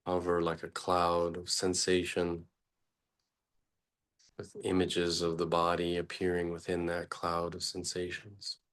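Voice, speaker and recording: A man leading an unscripted guided meditation as a teacher, speaking haltingly in short phrases with long pauses between them. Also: low-pitched, completely flat delivery, fairly bright tone.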